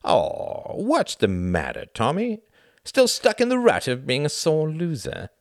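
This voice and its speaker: A man's voice: on the low side, huge pitch swings, normal speed, fairly bright tone, no echo, studio quality, pitched above the performer's own voice. A man voicing a haughty, spiteful, well-educated young upper-class brother in an audiobook, theatrical, sneering and condescending. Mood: mocking. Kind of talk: audiobook character acting.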